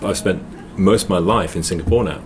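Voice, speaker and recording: Deep-voiced Englishman telling stories. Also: a little fast, a little hiss or hum. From England. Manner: storytelling, restarts with repeated words. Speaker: guest. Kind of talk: casual chat. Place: noisy hotel.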